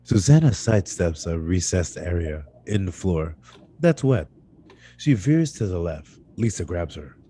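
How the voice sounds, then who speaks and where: A man narrating screenplay stage directions at a live table read, with a husky voice and occasional stumbles that he corrects. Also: low-pitched, very animated, a little slow, warm tone, slight background noise. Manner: stumbles over words and corrects himself.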